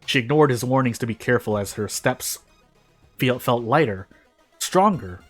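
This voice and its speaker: A man's voice: mid-range voice, normal speed. Male story reader, mid-range voice, dramatic fan fiction narration with music underneath. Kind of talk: dramatic reading of prose fiction aloud. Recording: music behind the voice. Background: background music.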